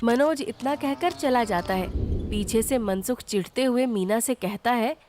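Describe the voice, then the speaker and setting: Female narrator for a kids' moral tale, higher register, scripted storytelling, music bed. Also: a little slow, darkish tone. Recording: music behind the voice.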